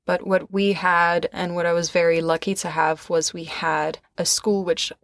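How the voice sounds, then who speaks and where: A woman's voice: fairly low pitch, flat delivery, normal speed, dry recording, studio quality. A woman who partly grew up in South Africa, a podcast guest chatting casually and telling personal stories. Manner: chatty, quotes dialogue as she tells stories.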